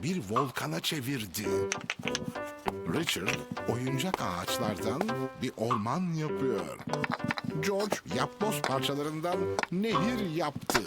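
Calm woman narrating a cartoon. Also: extremely low pitch, slow pace. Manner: calm, even storyteller. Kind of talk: scripted storybook narration. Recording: cartoon with loud music behind.

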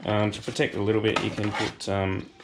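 An Australian man, hoarse from a cold, chatting casually through a workshop how-to while he works on metal. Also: fairly low pitch, fast. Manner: relaxed, chatty, thinks aloud with fillers. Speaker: host. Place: home workshop shed. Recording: noisy workshop with tool clatter.

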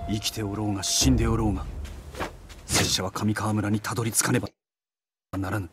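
An actor as a stern, resolute samurai. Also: male voice, on the low side, little pitch movement, fairly quick, background noise, lightly acted. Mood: grimly determined. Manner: terse, determined, formal. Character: stern, resolute young samurai swordsman, duty-bound. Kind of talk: dramatic cinematic game acting in archaic samurai speech.

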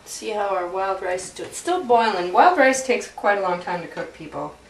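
A woman's voice: mid-range voice, expressive, normal speed, creaky. A young woman talking casually while she cooks at home, joking to the camera. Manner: chatty, joking asides to the camera. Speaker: home cooking vlogger. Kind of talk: casual cooking demo. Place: home kitchen, indoors. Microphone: camera mic from a few feet away. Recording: echoey kitchen, distant camera mic, noisy.

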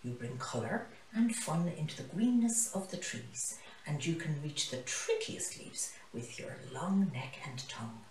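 Friendly lioness for a picture book, female reader. Encouraging, highly animated, recorded a little way from the mic. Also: measured pace. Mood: delighted. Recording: roomy, mic some distance away.

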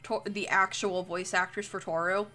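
A woman's voice: lowish voice, level tone, normal speed, pushed and bright. A young woman streamer chatting casually while she draws, rambling and jokey with plenty of swearing. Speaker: streamer, artist. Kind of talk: casual livestream chat while drawing.

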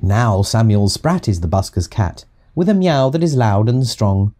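Animated, mellow man reading a children's story. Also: fairly low pitch, a little slow. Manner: rhythmic, expressive verse reading. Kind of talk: scripted children's audiobook narration.

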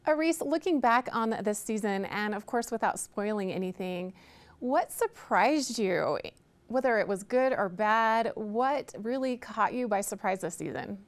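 A woman's voice: medium pitch, expressive, a little slow. A woman interviewer reading polished, friendly questions on a studio set.

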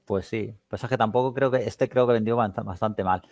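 A man's voice: fairly low pitch, a little fast, darkish tone, no echo. A man from Spain with a Castilian accent, chatting casually and in slangy colloquial terms as a gaming podcast host. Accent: Castilian Spanish. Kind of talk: casual chat.

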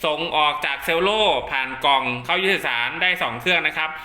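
A man's voice: a little high, fast, bright, forceful tone, roomy sound. A man casually demonstrating equipment step by step, chatty and polite, like a seller testing a product for a buyer.

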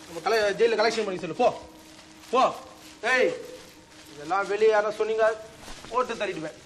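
An actor playing a ruthless gang leader who barks orders and threatens. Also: male voice, high-pitched, moderate pace, fairly bright tone, low-quality recording. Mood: commanding. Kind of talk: film drama acting.

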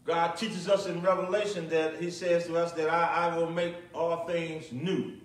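A man's voice: fairly high pitch, flat delivery, normal speed. Echoey middle-aged male pastor at a pulpit. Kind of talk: sermon with prayer and commentary. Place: small, nearly empty church, at a pulpit indoors. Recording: echoey church, distant microphone.

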